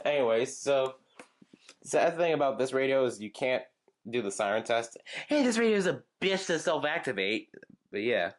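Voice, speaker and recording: A young man in casual show-and-tell mode, rambling through his gadgets one by one. He halts and restarts often, fills gaps with "uh" and "like," and drifts into offhand asides, all at an ordinary middle pitch. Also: sing-song. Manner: rambling, halting, with frequent fillers and restarts. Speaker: channel host showing weather radios.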